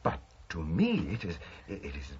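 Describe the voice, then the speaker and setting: An actor playing a dithering, scholarly old British eccentric, wordy and stammering, in a radio drama. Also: male voice, deep voice, wildly swooping pitch, measured pace, a little mellow, muffled recording, slight background noise, heavily acted. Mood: rapt, wondering. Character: excitable, scholarly old eccentric obsessed with spiritualism.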